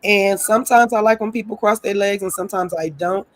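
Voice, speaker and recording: A woman co-hosting a reality TV recap. She is under the weather, which makes her voice deeper than usual, and she talks eagerly with repeated words. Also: fairly quick, no echo. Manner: enthusiastic and agreeable, repeats words. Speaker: co-host of a reality TV recap show. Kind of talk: casual chat reviewing looks.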